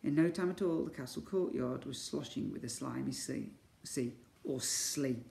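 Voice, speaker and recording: A man's voice: medium pitch, normal speed, vocal fry, a little mellow. A man reading a children's story aloud in a theatrical way. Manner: theatrical, exclaims sound words. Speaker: school story reader. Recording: echoey room.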